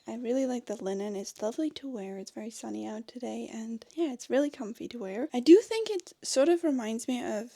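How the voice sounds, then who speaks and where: A woman with a fairly high voice who speaks English as a second language, vlogging in a casual, chatty way. She tells things like stories, with little asides and chuckles, in a dry, close-sounding recording. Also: mellow, no room sound. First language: not English. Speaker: book and sewing vlogger. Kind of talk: casual chatty review to viewers.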